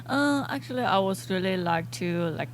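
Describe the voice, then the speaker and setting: A woman from China speaking halting, simple English with a Chinese accent as a podcast guest, often giggling. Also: medium pitch, expressive, a little hiss or hum. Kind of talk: casual interview chat.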